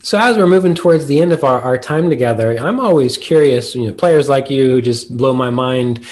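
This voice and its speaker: A man speaks as a podcast host in a conversational interview style. His pitch sits in the middle range for a male voice, and he talks informally as he puts questions to a guest. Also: brisk, a little mellow.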